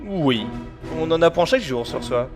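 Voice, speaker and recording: A man's voice: fairly high pitch, animated, normal speed, close to an everyday voice. A man as a loyal young assassin; level, matter-of-fact delivery over music. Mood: calm. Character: calm loyal male assassin, young adult. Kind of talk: amateur audio drama acting. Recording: music underneath.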